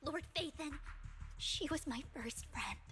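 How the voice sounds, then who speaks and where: A woman voicing a devoted, melancholy young woman in a fantasy game, speaking in a soft, formal, earnest way. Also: high-pitched, expressive, unhurried. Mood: solemn, tender. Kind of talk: dramatic game voice acting.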